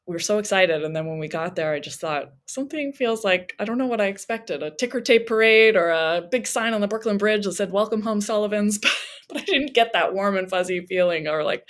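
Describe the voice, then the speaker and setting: A woman speaking as an interview guest, telling personal stories conversationally and voicing remembered remarks as she goes. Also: lowish voice. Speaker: interview guest, travel memoir author.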